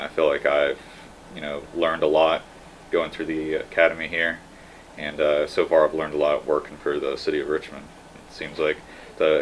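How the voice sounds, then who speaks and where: A man's voice: extremely low pitch, a bit bright, low-quality recording. A young man from Kentucky with an American accent, a police officer answering as a guest in a hesitant, matter-of-fact way. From Kentucky, United States. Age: young adult. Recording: noticeable background noise.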